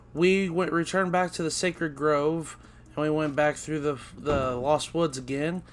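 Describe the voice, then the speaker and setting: A man hosting a video game let's play, losing his voice and sounding raspy, rambling and correcting himself as he talks. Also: on the high side, fairly bright tone, slight background noise. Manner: rambling, self-correcting, chatty. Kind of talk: casual gameplay commentary.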